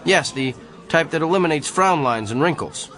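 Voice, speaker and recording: A man's voice: a little high, lively intonation, normal speed, fairly bright tone, a faint crowd. An actor playing a pompous, defensive male doctor with an inflated view of his gift, in a radio drama. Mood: clinical, assured. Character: arrogant, self-important gifted male doctor.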